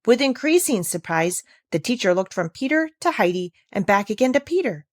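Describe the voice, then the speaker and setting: A woman's voice: mid-range voice, big pitch swings, fairly quick, no echo. A woman with a mid-range voice reads a children's classic aloud. She works as the storybook narrator, following the text, and the recording is dry with no room sound.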